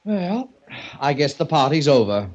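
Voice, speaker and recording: A man's voice: a little high, sing-song, a little slow, breathy edge, exaggerated voice. A man playing a bored, insolent house guest in a 1940s radio drama, languid and sarcastic. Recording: muffled old-time radio recording.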